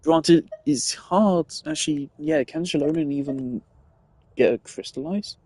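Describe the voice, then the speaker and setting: A man's voice: on the high side, a little slow, darkish tone, no echo. A man giving casual, analytical gaming commentary, thinking aloud in a rambling way as a streamer.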